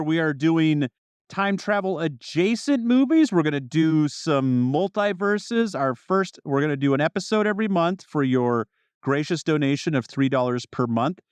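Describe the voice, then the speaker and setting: A man hosting a casual film podcast, chatting in an animated, excitable way. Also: on the high side, clean tone, no room sound. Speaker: podcast host.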